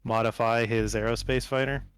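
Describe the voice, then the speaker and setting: A man's voice: medium pitch, little pitch movement, moderate pace, smooth, dry recording, studio quality. A man playing a tabletop game, casually explaining what his character thinks in the third person.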